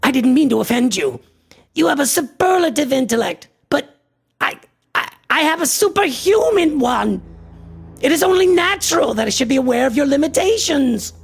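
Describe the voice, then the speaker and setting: A woman playing an arrogant, obsessive male antiquarian in a radio horror drama, raspy and creaky, with grandiose, condescending delivery. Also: high-pitched, sing-song, moderate pace, exaggerated voice. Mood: grandiose, agitated. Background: faint music.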